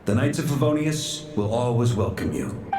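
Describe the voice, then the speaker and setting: A man's voice: medium pitch, very animated, normal speed, a little mellow, echoey room, lightly acted. A man playing a dignified knight leader in a video game, speaking in a formal, courteous, solemn way. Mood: solemn, apologetic.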